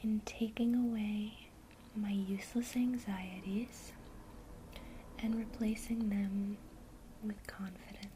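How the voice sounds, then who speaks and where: Woman, ASMR lesson host, soft unhurried whisper, faint hiss behind. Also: mid-range voice, steady tone, low-quality recording. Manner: slow, soft, gentle whispering. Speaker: ASMR creator teaching witchcraft.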